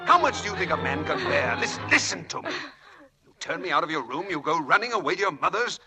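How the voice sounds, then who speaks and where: An actor playing a volatile, bitter husband in a 1940s radio drama, intense and clipped. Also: male voice, a little high, very animated, fast. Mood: angry, desperate. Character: volatile, bitter, self-reproaching husband in debt. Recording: old radio broadcast with faint music.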